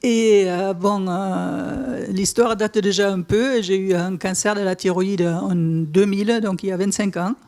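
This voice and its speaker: A middle-aged German-born woman with a Toulouse accent and German as first language, giving a halting personal account at a panel discussion. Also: medium pitch, fairly even intonation, a little mellow. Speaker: patient association president. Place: on stage at a conference. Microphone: stage microphone.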